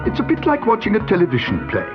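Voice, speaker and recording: A man's voice: high-pitched, wildly swooping pitch, quick pace, dull audio, over music, voice pushed up. Man playing a kindly, helpful friend. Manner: kindly and encouraging.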